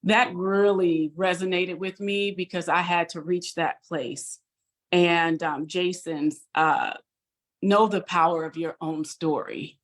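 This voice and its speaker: A woman sharing a personal testimony on a video call, earnest and halting with fillers. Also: on the low side, fairly even intonation. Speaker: guest.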